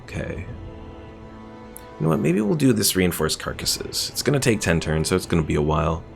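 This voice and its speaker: A man's voice: low voice, very animated, a little fast, creaky, faint music behind. A man streaming a strategy game with casual live commentary, thinking aloud and reading chat.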